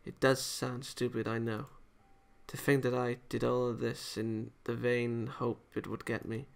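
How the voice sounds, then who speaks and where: A man's voice: medium pitch, level tone, a little slow, gravelly, clear, resonant tone. A man voicing a sad, defeated young female villain from a visual novel in a subdued, self-pitying way. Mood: dejected, hopeless.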